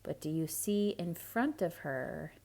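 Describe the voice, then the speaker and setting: A woman reading a storybook aloud to children in a theatrical, expressive way, with hushed asides to young listeners. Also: low-pitched, slow, creaky, slight background noise.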